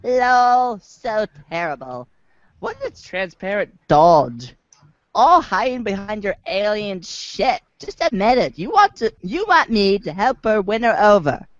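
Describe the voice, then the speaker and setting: A dry, slightly muffled amateur recording carries a man's take on a sassy teenage boy talking like a valley girl. The delivery drawls slowly and swoops up and down with exaggerated slangy flair, as in a comic reader's theater. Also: high-pitched, very animated, dry recording. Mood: teasing.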